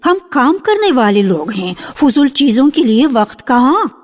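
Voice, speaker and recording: A woman's voice: sits high, very animated, measured pace, heavily acted. A woman narrator voicing a devoted, earnest young wife in a storybook radio reading, on an archival recording. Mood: prim dismissal.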